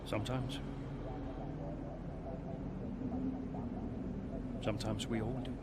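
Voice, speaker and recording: A man's voice: on the low side, flat delivery, quick pace, close to an everyday voice. A man playing a curt, middle-aged veteran racing driver in a game cutscene, with noise behind him. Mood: reflective. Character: gruff veteran racing driver, blunt but secretly soft-hearted. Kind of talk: naturalistic game cutscene acting.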